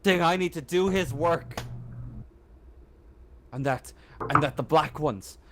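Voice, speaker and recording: Man playing a slow, haunted, fanatical confessor. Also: a little high, a bit bright, a little hiss or hum. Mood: fervent, halting. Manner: halting, stammering, repeats himself. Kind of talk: tabletop roleplay monologue.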